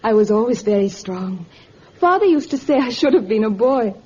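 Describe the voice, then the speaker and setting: A woman's voice: on the high side, expressive, normal speed, darkish tone, close to an everyday voice. An actress playing a tender, lovestruck young woman with a hesitant, pleading manner in a vintage radio drama. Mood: earnest. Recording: muffled, noisy old radio recording.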